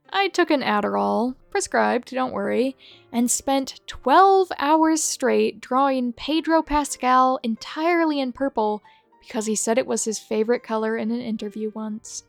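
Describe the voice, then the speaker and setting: A woman hosting a video who reads out short fan confessions one after another in a brisk, matter-of-fact way. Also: fairly high pitch, creaky, no room sound. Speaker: host reading viewer submissions. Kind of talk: reading aloud a list of fan confessions.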